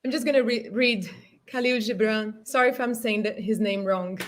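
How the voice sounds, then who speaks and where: A woman in her thirties with a Brazilian Portuguese accent speaks on a video call, her voice sitting a little high. She talks with raw, earnest emotion and often pauses to find the right English word. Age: 30s. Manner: emotional, earnest, searching for words. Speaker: panel guest. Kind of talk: impassioned panel discussion.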